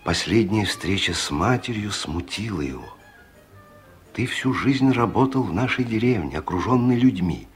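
A man narrating a 1970s radio drama in a calm, even storytelling manner. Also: fairly low pitch, moderate pace, soft background music.

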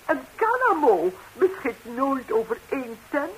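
Flustered gossipy housewife, very animated swooping delivery, indignant and theatrical, hissy old radio comedy recording. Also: female voice, high voice, unhurried, poor audio. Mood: chatty, amused. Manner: chatty, exclaiming, theatrical. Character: gossiping Madrilenian wife, flustered, indignant, melodramatic. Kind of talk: 1960s radio comedy acting.

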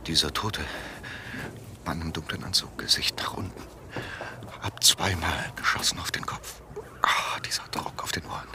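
A deep-voiced, shaken, reluctant accomplice. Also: male voice, fairly even intonation, normal speed, pauses often. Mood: whispering dread. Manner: brooding, uneasy, often breathy and whispering. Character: shaken, reluctant accomplice man, anxious and brooding. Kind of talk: German radio drama acting, with inner monologue.

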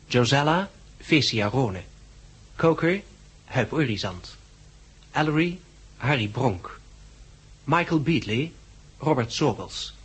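A man with a husky voice reading scripted credits as a radio drama announcer. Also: mid-range voice, expressive, slow pace, long pauses, a bit bright, rough recording.

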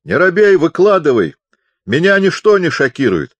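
A man's voice: mid-range voice, very animated, a little slow, no echo, pitched above the performer's own voice. A man voicing a kindly, oblivious old chaplain who is hard of hearing and bellows cheerfully in an audiobook. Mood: bellowing, encouraging. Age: older. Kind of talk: audiobook character voice.

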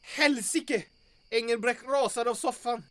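An actor playing a hapless, fretful, chatty sidekick in an audio drama, with a whiny comic manner. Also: male voice, very high voice. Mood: grumbling, morbidly chatty. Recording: noisy vehicle ambience behind voice.

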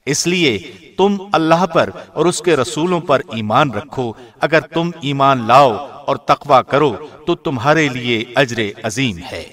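A man giving a formal, scripted reading of a scripture translation in a measured, solemn manner. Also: medium pitch, fairly bright tone. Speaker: translation reader, radio host.

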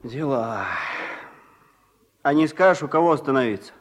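A man's voice: medium pitch, expressive, moderate pace, natural voice. An actor playing an easygoing, slightly bemused wandering workman in a radio drama, speaking colloquially and musingly. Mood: friendly, bemused. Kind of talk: Soviet radio drama acting.

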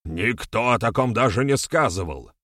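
A man's voice: medium pitch, animated, normal speed, a bit bright, no room sound, studio quality, pitched above the performer's own voice. A man voicing an earnest, conciliatory clan elder in an audiobook, with an admonishing, urgent manner. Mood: alarmed, insistent.

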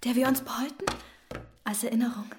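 A woman's voice: a little high, fairly even intonation, brisk, noisy background, lightly acted. An actress playing a naive, clinging young woman in radio-play dialogue. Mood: hopeful, clinging.

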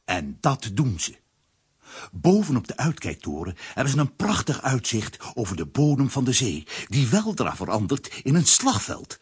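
A man narrating a children's adventure audio drama in a theatrical storytelling manner, with a husky, rough voice. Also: medium pitch, wildly swooping pitch, normal speed, full character voice.

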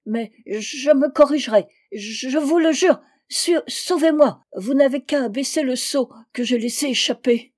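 Woman acting a desperate, high-pitched stammering drunkard. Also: expressive, normal speed, a little mellow. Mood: stammering, pleading. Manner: stammering, breathless pleading. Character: drunkard stuck down a well, desperate, whining, pleading.

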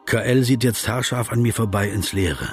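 An actor in a radio play voicing a polite, persistent male interviewer who probes, flatters and gives deliberate literary asides. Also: lowish voice, soft background music, lightly acted. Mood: hushed observing. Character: persistent, courteous male interviewer, flattering, observant, narrating into dictaphone.

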